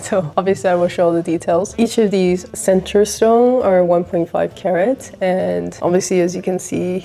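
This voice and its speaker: A woman's voice: mid-range voice, warm tone. Woman with a flu-worn voice. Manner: chatty, shows pieces while talking, laughs lightly. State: recovering from flu, voice partly lost. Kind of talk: casual show-and-tell vlog.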